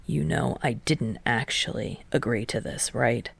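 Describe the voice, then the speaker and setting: Sardonic teen boy acted by a woman narrator; low, quiet, droning, dismissive storybook character. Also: measured pace, creaky, breathy edge, no echo, full character voice. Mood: cool taunting. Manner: droning, muttering, dry. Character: aloof, sarcastic, deadpan teenage boy.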